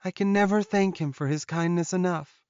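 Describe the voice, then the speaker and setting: Casting: male reader as young female werewolf, high voice, earnest and emotional, wounded past, audiobook drama, slightly muffled sound. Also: moderate pace, a little mellow, smooth, no room sound, lightly acted. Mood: tearful, thankful. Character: tearful young female werewolf confessing her painful past.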